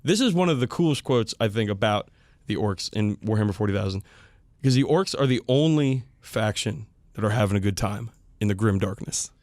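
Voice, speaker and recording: A man hosting a casual wargaming chat podcast, speaking informally and with some swearing, his voice hoarse and worn out. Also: lowish voice, lively intonation, fairly quick, darkish tone, no room sound. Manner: informal, profane. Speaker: podcast host.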